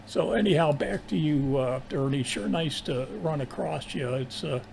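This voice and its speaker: Man, creaky voice, amateur radio operator, casual halting delivery, home station, faint ambient noise. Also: a little high, a little mellow.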